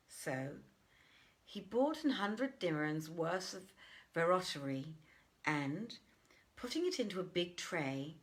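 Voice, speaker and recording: A woman narrating an old tale in an expressive, theatrical storybook style with deliberate phrasing. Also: lowish voice.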